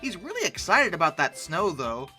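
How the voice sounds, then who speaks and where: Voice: mid-range voice, wildly swooping pitch, normal speed, music in the background. A video host giving comic commentary with a sarcastic, mock-incredulous and often exasperated delivery. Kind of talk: comic commentary on dinosaur art.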